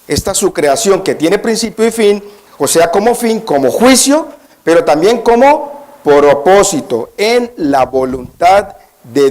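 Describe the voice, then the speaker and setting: A man's voice: high-pitched, a little mellow. A man preaching as a guest in a church, at first nervous, then emphatic, often putting questions to the congregation. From Colombia. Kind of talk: church sermon.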